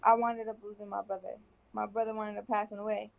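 A woman's voice: mid-range voice, flat delivery, fast, vocal fry. A woman rambling through a long personal story over a video chat, circling back and repeating herself in loose slang.

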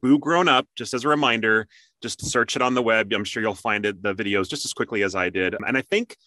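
A man with a lowish, bright and forceful voice hosts an interview. He speaks conversationally, and the sound is dry and close. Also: brisk, dry recording, poor audio. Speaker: interview host.

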